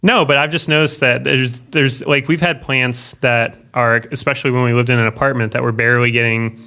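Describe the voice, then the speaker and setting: Bright, clear-voiced man hosting casually. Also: mid-range voice, steady tone, a little fast. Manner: rambling, self-correcting questions. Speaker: podcast host. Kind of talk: casual interview chat.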